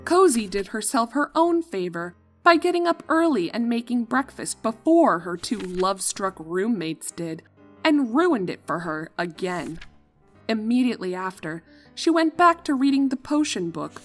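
A woman reading a scripted story as narrator, with a dramatic and expressive delivery. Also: medium pitch, moderate pace, faint music behind.

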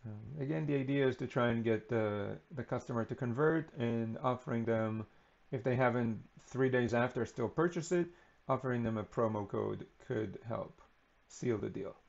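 A man giving an unscripted screen-recording walkthrough of software, relaxed and unhurried with frequent ums and uhs. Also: mid-range voice, vocal fry, highs cut off. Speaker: software tutorial presenter.

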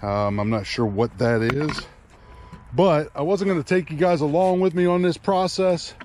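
A man's voice: on the high side, normal speed, darkish tone, slight background noise. A middle-aged man in a casual, rambling how-to chat to camera, recorded outdoors in a backyard on an action camera. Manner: easygoing, rambling, trailing off. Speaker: firewood vlogger.

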